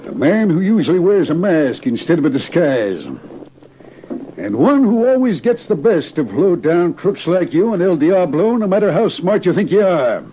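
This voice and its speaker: A man's voice: high-pitched, sing-song, normal speed, warm tone, a little hiss or hum, low-quality recording. An American man acting a decisive, folksy sheriff in an old radio western. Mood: satisfied. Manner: folksy, commanding.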